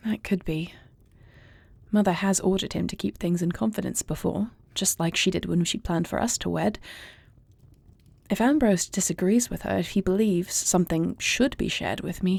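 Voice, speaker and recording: A close, dry recording of an earnest young warrior princess, acted by a woman in an audio roleplay. Her voice is mellow with a creaky edge, and she speaks softly in formal, royal phrasing, anxious yet tender. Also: mid-range voice, dry recording. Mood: worried, uneasy. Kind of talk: intimate audio roleplay acting.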